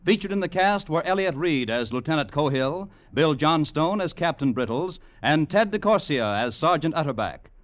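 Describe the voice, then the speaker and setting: A man's voice: a little high, expressive, normal speed, few pauses, no echo. A man announcing in scripted 1940s network radio style, polished and emphatic. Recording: dull vintage radio broadcast.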